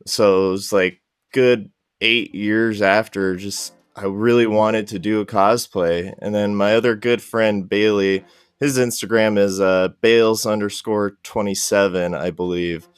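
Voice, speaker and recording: A man's voice: lowish voice, fairly even intonation, unhurried, clear, resonant tone, dry recording, clean studio sound. A man speaking as a podcast guest in casual interview chat, with a loose, rambling delivery.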